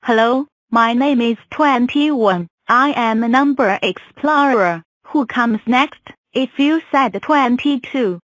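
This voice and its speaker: A man voicing a small, cheerful number block character in a fan cartoon, with a very high, slow sing-song delivery. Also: fairly bright tone, no echo, full character voice. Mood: chirpy.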